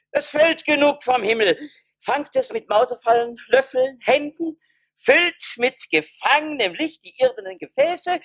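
Silly bossy townsperson, high voice, slow deliberate radio drama delivery. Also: female voice, fairly bright tone. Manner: emphatic, instructing in short bursts. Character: eager, foolish townsperson bossing a daft scheme. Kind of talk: theatrical radio play.

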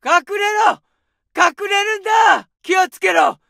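An aggressive young infantryman; high, slow shouted callouts with a gravelly edge. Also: no room sound, clean studio sound, heavily acted. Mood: alarmed shouting. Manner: barked orders and battle shouts. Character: young male Japanese infantry soldier, aggressive. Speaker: soldier voice lines. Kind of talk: game combat callouts.

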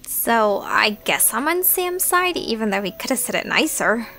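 An indecisive young female acted by a woman in an audio drama, torn and conflicted. Her voice is very high and swings widely in pitch. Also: sing-song, normal speed, pushed and bright, full character voice.

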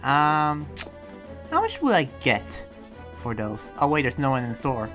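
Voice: medium pitch, huge pitch swings, measured pace, a bit bright. Hesitant game roleplayer, mid-range voice over music. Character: demon slayer trying to relax. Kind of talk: improvised in-character game roleplay. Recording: music behind the voice.

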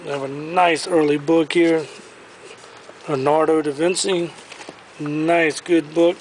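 A man's voice: on the high side, steady tone, slow pace, background noise. A man walking through an auction warehouse and showing off the items for sale, upbeat and enthusiastic, recorded on the camera he carries. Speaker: auctioneer giving a preview walkthrough. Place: large indoor auction warehouse. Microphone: handheld camera he carries as he walks.

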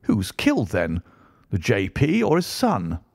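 A man voicing a hearty, unflappable country colonel with bluff, old-fashioned gentlemanly talk in an audiobook story. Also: on the low side, wildly swooping pitch, a little slow, vocal fry, no room sound, clean studio sound, heavily acted. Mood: coolly concerned. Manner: bluff, old-fashioned upper-class phrasing.

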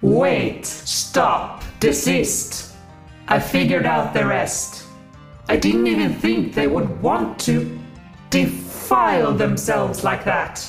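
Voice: medium pitch, sing-song, takes it slowly, creaky, exaggerated voice. An actor playing an exasperated, sarcastic, indignant God in a satirical audio drama. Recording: roomy echo with music.

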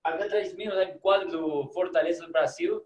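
An enthusiastic young man with an Argentine Spanish accent, speaking his second language warmly and sometimes stopping to search for a word. His voice sits a bit high, and the small room he is in comes through clearly in an echoey sound. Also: fairly even intonation, a little fast, muffled recording. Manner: warm, enthusiastic, searches for words at times. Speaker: guest, psychologist. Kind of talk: casual interview over video call.

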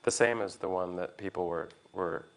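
A man in reflective conversation, speaking in a halting, thoughtful way as he searches for words. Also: deep voice, creaky. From United States. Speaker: art historian being interviewed.

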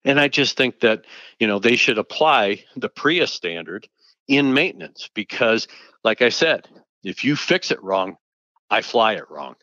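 Podcast co-host, male, lowish pitch, forceful bright tone, dry dull recording. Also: big pitch swings, a little slow, dry recording. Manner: emphatic, rising to indignant rhetorical questions. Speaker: podcast co-host, aviation safety investigator. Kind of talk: conversational podcast discussion.

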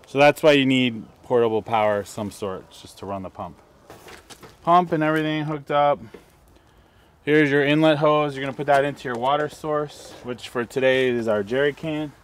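A man's voice: mid-range voice, normal speed. A middle-aged man casually walking through a product setup step by step, outdoors in the woods. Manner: easygoing, explains step by step while handling gear. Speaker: camping vlogger. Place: outdoors in sunny woods by a vehicle.

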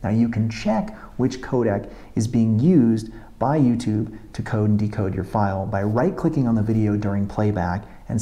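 A man's voice: lowish voice, mellow. A man in a video tutorial, explaining camera and export settings step by step in a methodical way, filming himself on a tripod camera. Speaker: motovlogger. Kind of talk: technical tutorial talk to camera.

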